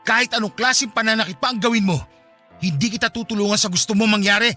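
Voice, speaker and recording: Radio drama role: cold, blaming husband with a secret, fast delivery, forceful bright voice. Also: male voice, high-pitched, faint music behind. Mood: angry, threatening. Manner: defensive, scolding, blaming. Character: callous married man coldly rejecting his former mistress. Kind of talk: Tagalog radio drama acting.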